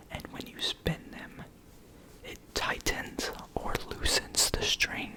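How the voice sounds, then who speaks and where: Whispered, slow ASMR guitar explainer. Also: low-quality recording. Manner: informal, hesitant, repeats key words slowly.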